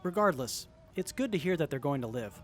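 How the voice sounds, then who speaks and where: A man's voice: on the high side, very animated, a little fast, faint music behind. A man acting in an audio drama as an earnest, principled member of a wasteland crew. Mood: earnest, resolute.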